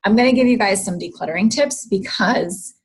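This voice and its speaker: A woman's voice: medium pitch, a little fast, breathy edge. A woman hosting a casual livestream, chatty, greeting viewers, and losing her voice. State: losing her voice, clearing her throat. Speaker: host of a livestream.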